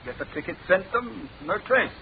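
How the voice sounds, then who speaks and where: An actor playing a gruff, sceptical English chief inspector in a classic radio drama, talking in clipped, telegraphic phrases. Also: male voice, high voice, big pitch swings, normal speed, slight background noise, full character voice. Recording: tinny band-limited old radio recording.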